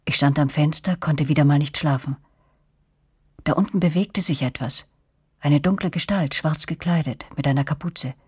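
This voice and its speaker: An actress narrating a radio drama as a reflective woman recalling her girlhood, with a breathy, husky voice. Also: sits low, moderate pace, darkish tone, no room sound, studio quality. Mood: puzzled, wary.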